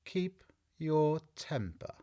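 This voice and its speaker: Sleepy grumpy caterpillar for an audiobook, low voice, drawls really slow, swoopy pitch. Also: female voice, sing-song, dark tone, exaggerated voice. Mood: languid, commanding. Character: languid, curt, contrary caterpillar, sleepy and easily offended. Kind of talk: full-cast audiobook acting.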